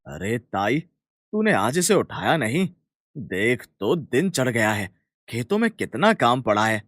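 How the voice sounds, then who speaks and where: Man acting an animated, earnest young farmer. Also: medium pitch, measured pace, dry recording, heavily acted. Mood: friendly. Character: earnest young village farmers, kind, friendly, chatty. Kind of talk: Hindi cartoon story dialogue.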